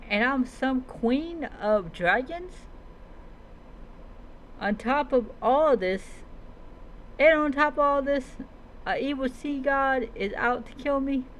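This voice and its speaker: A woman's voice: high-pitched, a bit bright, a little hiss or hum, pitched above the performer's own voice, low-quality recording. A woman playing an incredulous young dragon queen and daughter, speaking with questioning emphasis in a fantasy roleplay.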